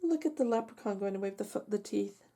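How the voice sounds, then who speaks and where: A woman's voice: medium pitch, animated, fast talker, dark tone. A woman storytime host narrating a picture book expressively, with chatty asides to young listeners. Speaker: storytime host reading aloud to children.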